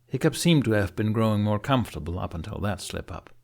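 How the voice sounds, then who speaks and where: Low-voiced male audiobook narrator. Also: expressive, quick pace, vocal fry. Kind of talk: dramatic scripted storytelling.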